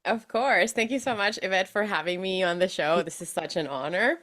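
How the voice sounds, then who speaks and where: A young woman from Venezuela, a podcast manager, chatting as a guest in an animated way with fillers and restarts. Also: mid-range voice, brisk. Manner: animated, laughs often, many fillers and restarts. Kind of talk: casual interview chat.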